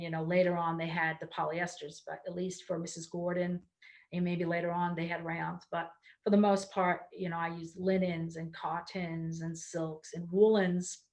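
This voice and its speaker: Chatty woman with a Connecticut accent. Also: fairly low pitch. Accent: Connecticut, with a trace of Baltimore. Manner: chatty, with many ums and restarts. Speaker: performer and storyteller giving a talk. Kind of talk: casual explanatory talk.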